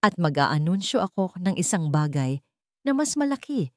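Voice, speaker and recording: A woman voicing a wounded but resolute young heiress in a story, speaking in measured, firm, quietly defiant declarations. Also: lowish voice, sing-song, no echo, studio quality. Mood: determined.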